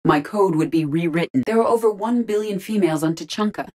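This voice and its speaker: A courteous female artificial intelligence from a sci-fi video game, played by a woman. The voice sits low and stays composed. Each phrase is measured and exact, with formal wording and a dry, deadpan delivery. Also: natural voice. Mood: composed, matter-of-fact. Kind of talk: scripted video game dialogue.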